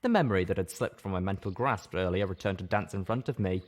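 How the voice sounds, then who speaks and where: A man's voice: low-pitched, fast talker. A man reading scripted first-person fiction aloud as an audiobook narrator.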